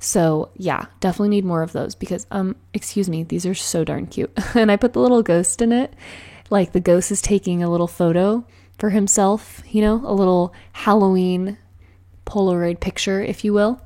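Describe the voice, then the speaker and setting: A woman chatting casually while decorating a planner, bubbly and rambling, her voice a little raspy in the morning. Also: medium pitch, fairly even intonation, normal speed, warm tone. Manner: bubbly, rambling, frequent laughs and filler words. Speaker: planner vlogger. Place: at home with cats meowing.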